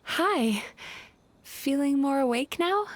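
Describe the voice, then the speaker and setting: A woman voicing a polite young female dream spirit in a video game, a gentle healer with a soothing, reassuring manner. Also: high-pitched, animated, takes it slowly, dry recording. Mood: friendly, explaining.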